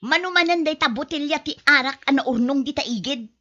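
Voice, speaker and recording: A woman's voice: sits high, brisk, bright, forceful tone. A woman playing an aggrieved young mother and fed-up wife, plaintive and nagging, in an amateur audio drama. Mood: fed up, scolding. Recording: dull lo-fi podcast audio.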